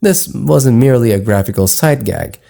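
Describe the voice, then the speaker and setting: Guy narrating a gaming explainer, soft mellow tone, mid pitch, reading from a script. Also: expressive, moderate pace, vocal fry.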